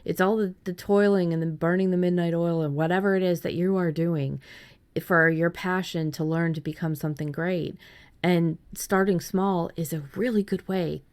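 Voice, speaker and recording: A woman with a notably low voice hosts a writing podcast. She chats casually, circling back with restarts and "you know" asides as she explains her ideas. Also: fairly even intonation, moderate pace. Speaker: podcast host.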